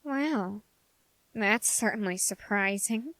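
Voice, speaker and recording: A woman playing an obsessive young male soldier fascinated by demons in an ASMR audio roleplay, with intense, halting, formally worded delivery straight to the listener. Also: mid-range voice, expressive, fairly bright tone, no room sound. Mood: frustrated, suspicious.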